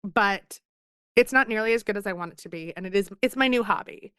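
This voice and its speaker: A woman's voice: mid-range voice, fairly quick, a bit bright, clear, resonant tone, dry recording, studio quality. A woman in her thirties from Philadelphia, a guest author talking in a casual podcast interview, chatty and self-deprecating as she tells stories.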